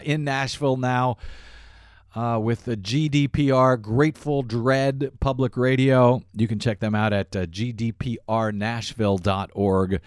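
A man's voice: medium pitch, dry recording. Man, talk radio host, political monologue, wry, lots of "uh", dead-dry studio mic. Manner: sardonic, frequent "uh" fillers.

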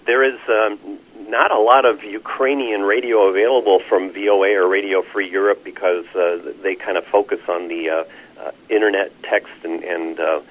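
Male expert interviewee, fairly deep and husky, explaining haltingly with many pauses, lo-fi radio sound. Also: fairly even intonation. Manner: hesitant, measured, frequent long pauses. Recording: slightly dull, lo-fi radio-call sound.

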